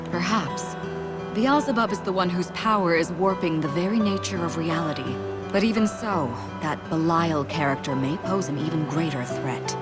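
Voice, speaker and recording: A woman's voice: lowish voice, normal speed, loud music behind the voice, close to an everyday voice. Stern, commanding warrior woman by an actress. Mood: grave. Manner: stern, formal. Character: serious, commanding adult female warrior. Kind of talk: video game cutscene acting.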